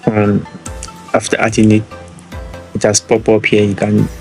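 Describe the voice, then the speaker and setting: A man's voice: lowish voice, completely flat delivery, a little slow, dark tone, faint music behind. A man from Nigeria with a Nigerian accent, casually walking through a screen-share tutorial and often repeating his words. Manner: rambling, repeats words, chuckles. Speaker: trading tutor.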